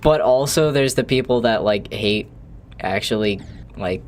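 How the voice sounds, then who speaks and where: A man's voice: on the low side, a little slow, slight background noise. A young man chatting casually on a live stream while playing, rambling, joking and swearing freely.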